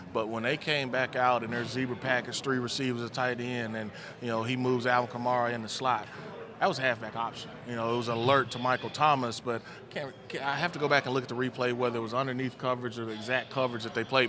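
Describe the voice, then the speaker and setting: A man in his forties, a former player, giving relaxed postgame football analysis into a handheld mic on a studio set. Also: medium pitch. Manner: relaxed, thoughtful, many "you know" fillers. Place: studio set with team backdrop, indoors. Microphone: handheld microphone.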